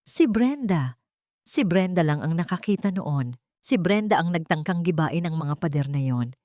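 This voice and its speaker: A woman narrating a scripted audiobook drama in a measured, emotional way. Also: fairly low pitch, expressive, a little mellow, dry recording, clean studio sound.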